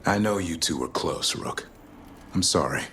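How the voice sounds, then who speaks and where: An actor playing a loyal, brave warrior companion in a fantasy game, speaking in a heartfelt way. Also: male voice, deep voice, animated, unhurried, sharp tone, a little hiss or hum, exaggerated voice. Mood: rueful. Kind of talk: dramatic video game acting.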